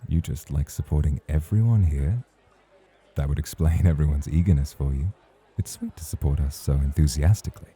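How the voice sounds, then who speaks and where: A man plays a charming, teasing club champion in a romantic ASMR roleplay. His voice is extremely low, very dark and husky. He talks in a formal, courtly way. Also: normal speed, heavily acted. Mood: flirtatious. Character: suave, teasing champion male club host, smoothly charming.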